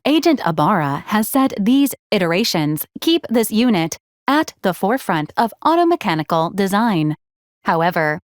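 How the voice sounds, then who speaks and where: Game role: actress as polite female service robot, literal and deadpan, formal clipped phrasing, medium register, dry studio-like sound. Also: mid-range voice, no room sound, close to an everyday voice. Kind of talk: scripted video game character lines.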